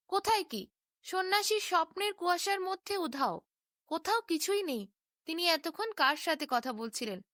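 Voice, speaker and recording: Woman, bedtime fairy-tale narrator, expressive, high voice, dry close recording. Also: dry recording. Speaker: storyteller.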